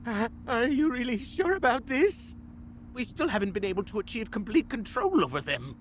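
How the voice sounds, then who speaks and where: A man's voice: extremely high pitch, big pitch swings, moderate pace, a little hiss or hum. A man playing an anxious, deferential scientist underling in a video game, hesitant and prone to stammering. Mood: nervous. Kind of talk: video game character acting.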